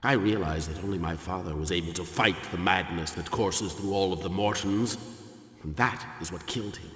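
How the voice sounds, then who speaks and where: A man's voice: low-pitched, moderate pace, a bit bright. A man playing a cold, menacing megalomaniac mad scientist in a video game, gloating and theatrical in his delivery. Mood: cold, contemptuous. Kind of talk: dramatic video game villain acting. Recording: echoey hall, long reverb.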